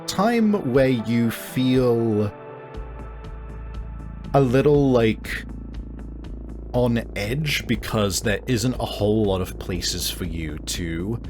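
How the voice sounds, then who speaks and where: A man improvising narration as game master of a tabletop game, in a halting manner with frequent searching pauses. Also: lowish voice.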